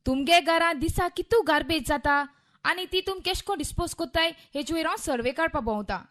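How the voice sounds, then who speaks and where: A woman playing a brisk, indignant government garbage collector with a Goan accent in a radio play. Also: very high-pitched, a bit bright. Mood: officious, explaining. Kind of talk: Konkani radio play acting.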